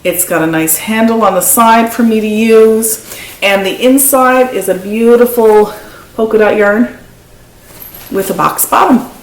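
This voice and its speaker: A middle-aged woman from Newfoundland with a Newfoundland accent, hosting a knitting podcast and chatting enthusiastically as she shows off craft supplies at home. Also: fairly high pitch. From Newfoundland and Labrador, Canada. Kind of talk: casual show-and-tell chat. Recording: echoey room with faint noise.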